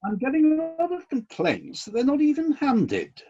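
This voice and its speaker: An actor playing an exacting, fair-minded celestial boss in a satirical radio play, speaking in a measured, questioning and politely insistent way. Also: high voice, huge pitch swings, dark tone, dull audio, exaggerated voice. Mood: politely critical.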